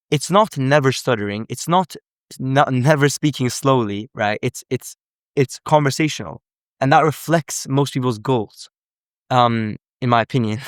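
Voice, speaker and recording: A young man from Sweden talks through his point in casual live commentary, stopping often to correct himself. His voice is smooth and clear at a middling pitch. The sound is dry and close, with no room echo. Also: fairly bright tone. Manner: earnest, explanatory, full of self-corrections. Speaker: language coach.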